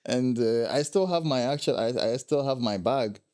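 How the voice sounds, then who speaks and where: A man's voice: medium pitch, normal speed, a little mellow, smooth, no echo, clean studio sound. A young man from Ghana, a guest telling his travel stories in a casual interview, laughing often.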